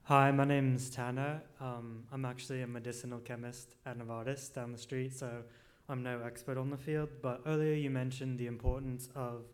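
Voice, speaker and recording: A young man asking a long, casual, self-deprecating question from the audience at a forum. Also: mid-range voice. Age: young adult. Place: lecture forum hall.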